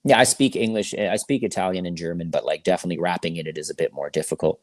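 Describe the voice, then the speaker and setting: Deep-voiced man in casual interview. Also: fast, no room sound, studio quality. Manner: laid-back slangy talk, frequent "you know". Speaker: musician guest, rapper.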